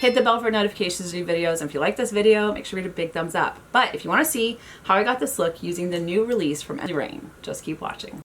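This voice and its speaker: Young woman, low-pitched, nasal and congested, upbeat casual makeup-channel host. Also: fast, hardly any pauses. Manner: chatty and enthusiastic, gushing. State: congested, sniffly. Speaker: beauty YouTuber. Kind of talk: casual makeup review vlog.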